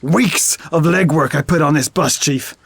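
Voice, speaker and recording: A man's voice: fairly high pitch, measured pace, vocal fry, a bit bright, husky, no room sound, heavily acted. A man playing a brash, hot-headed undercover detective in an audio drama, sarcastic and combative, with a mixed accent that has Irish and London traces. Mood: sarcastic, annoyed.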